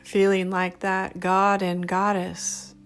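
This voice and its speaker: A woman leading a guided meditation in a gentle, soothing, unhurried manner, speaking in short phrases. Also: mid-range voice, completely flat delivery. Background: background music.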